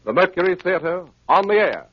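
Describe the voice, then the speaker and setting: Old timey radio announcer guy, husky and formal, muffled 30s recording. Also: high voice, very animated, moderate pace, dry recording. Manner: formal, declamatory. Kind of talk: 1930s network radio announcing. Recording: muffled, husky 1930s radio broadcast, music behind. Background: music.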